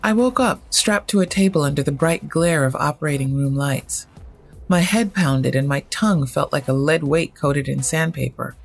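A storyteller with a husky voice, narrating first-person horror fiction in a measured, dramatic way. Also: medium pitch, faint music behind.

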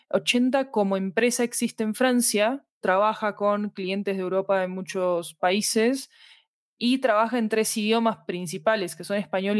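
A woman's voice: mid-range voice, no echo, studio quality. A woman giving conversational interview answers, explaining things and checking in with short tag questions. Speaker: podcast fiction director being interviewed.

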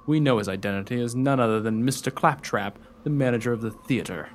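A self-assured gallant hero played by a man declaims formally in a radio play. His pitch is medium, and there is faint noise behind him. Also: quick pace, natural voice. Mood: confident, commanding. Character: gallant, self-assured rescuer and earnest suitor.

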